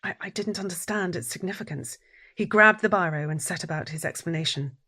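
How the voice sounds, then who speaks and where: Low, breathy, introspective wife. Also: female voice, bright, forceful tone. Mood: bewildered, uneasy. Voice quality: breathy, husky. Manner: introspective, deliberate, dramatic pauses. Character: troubled deafened wife recounting her withdrawn life. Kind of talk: first-person audio drama narration.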